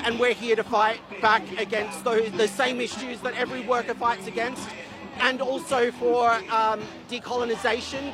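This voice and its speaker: A man speaking for a renters' union at a rally, earnest and a little halting. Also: very high-pitched, rough recording. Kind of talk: rally statement. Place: outdoor street rally. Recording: music behind, outdoors.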